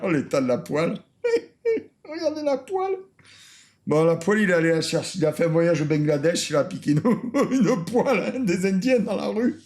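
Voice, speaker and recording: A man's voice: sits high, sing-song, moderate pace, a little mellow. A French man streaming a reaction video in a casual, mocking way, laughing often and repeating lines.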